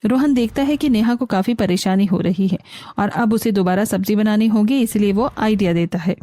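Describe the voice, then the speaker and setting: A woman's voice: medium pitch, moderate pace, warm tone, no room sound. A woman reading scripted narration for a cartoon family story.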